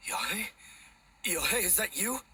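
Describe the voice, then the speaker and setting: An actor playing a young swordsman in a video game, with a theatrical, expressive delivery. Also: sits high, unhurried, a little hiss or hum, full character voice. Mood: dazed, fearful. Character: young swordsman, possessed by battle-hungry spirit, exuberant.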